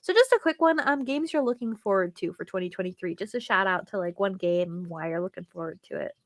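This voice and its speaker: A woman's voice: medium pitch, lively intonation, fast, no room sound. Enthusiastic woman chatting, close dry sound. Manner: chatty, enthusiastic, informal with frequent "like". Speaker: podcast co-host. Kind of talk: casual gaming podcast chat.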